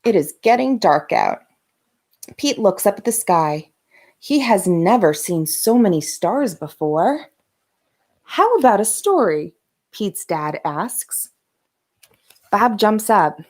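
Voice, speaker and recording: A woman reads a children's bedtime story aloud. Her pace is slow and careful, with lively swings in pitch and gentle dramatic emphasis on key words. Her pitch sits in a middle female range. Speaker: host of a bedtime story read-aloud channel. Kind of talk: expressive children's storybook narration.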